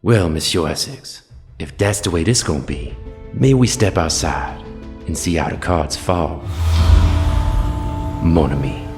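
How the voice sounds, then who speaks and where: A man's voice: low voice, unhurried, long pauses, music in the background. A man playing a roguish, cocky thief with a Cajun accent in an audio drama, drawling and defiant.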